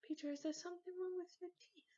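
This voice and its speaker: A woman voicing a brisk mum of young boys, firm, bossy and reproving, in a children's storybook. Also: very high-pitched, fairly even intonation, mellow, muffled recording, voice pushed up. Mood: puzzled.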